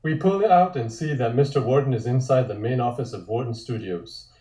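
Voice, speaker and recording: Man, narrator, reading stage directions, dryly wry, relaxed mellow timbre, echoing room. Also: medium pitch, fairly quick. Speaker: narrator of a comic table read. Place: indoor room, multistream call. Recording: roomy, echoing home recording.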